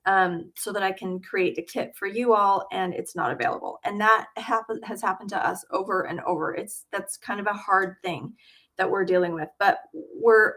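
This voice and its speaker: Middle-aged woman chatting on a live stream from her home studio, lots of ums, mid pitch. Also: fairly bright tone. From Utah. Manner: chatty, with frequent ums and uhs. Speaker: quilting channel host. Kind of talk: casual livestream chat.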